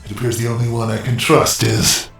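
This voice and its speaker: A man's voice: medium pitch, monotone, a little fast, gravelly, a bit bright, echoey room, far from the mic, faint music behind. A man playing a scheming, contemptuous robot master who sneers with menace in an audio drama. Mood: brooding.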